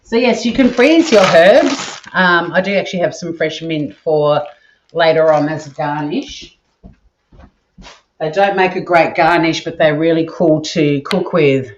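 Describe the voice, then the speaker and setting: A woman's voice: sits low, measured pace. A woman with an Australian accent and a nasal voice, chatting casually to viewers while she cooks in her home kitchen. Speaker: cooking stream host. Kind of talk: casual live cooking chat. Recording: dull audio with kitchen clatter.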